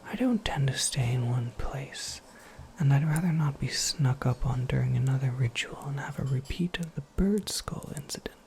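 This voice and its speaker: A performer acts out a wry, soft-spoken witch mentor for a whispered ASMR roleplay. The breathy whisper moves very slowly and crackles with fry. The phrasing is formal, cryptic and dryly playful, over faint background noise. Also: mid-range voice, close to an everyday voice. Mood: playful, gentle.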